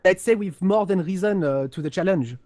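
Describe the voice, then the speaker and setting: A man voicing a pompous, condescending highborn aristocrat of a galactic empire in a halting, haughty delivery, as in an amateur role-play of game lore. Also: a little mellow, dry recording, lightly acted. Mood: proud.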